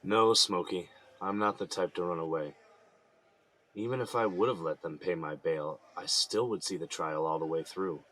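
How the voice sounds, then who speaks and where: A man's voice: deep voice, normal speed, bright, forceful tone, poor audio. A man playing an earnest, weary defendant held in jail in an audio drama, speaking reflectively. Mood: resolute.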